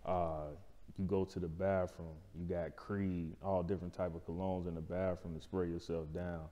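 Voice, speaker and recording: Low, mellow man, reverberant room. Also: little pitch movement, moderate pace. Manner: colloquial, explanatory, checking in with "right?". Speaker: barbershop owner, interview guest. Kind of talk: casual interview talk. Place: indoor lounge-style studio. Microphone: lapel mic.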